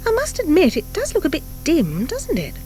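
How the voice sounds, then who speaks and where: A woman's voice: very high-pitched, wildly swooping pitch, fairly quick, warm tone, faint music behind, voice pushed up, full character voice. A woman voicing a hapless, good-natured young schoolgirl witch in a storybook, chatty and resigned. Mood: doubtful.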